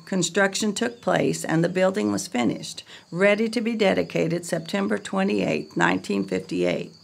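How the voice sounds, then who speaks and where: A woman reading a scripted history narration in a measured, read-aloud way. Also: mid-range voice, gravelly.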